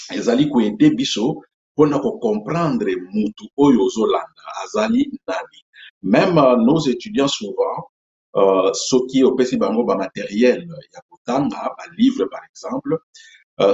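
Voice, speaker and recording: A Congolese man hosting his own channel, explaining step by step in an unhurried way with many pauses and fillers. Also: on the low side, a little mellow. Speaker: YouTube channel host. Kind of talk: explanatory talk to camera. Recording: echoey room, dull lo-fi sound.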